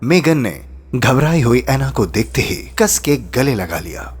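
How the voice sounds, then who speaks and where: A male narrator tells a scripted horror tale with suspense. His medium-pitched voice moves expressively up and down. Faint music plays behind him. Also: very animated, a little slow, slightly rough. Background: background music.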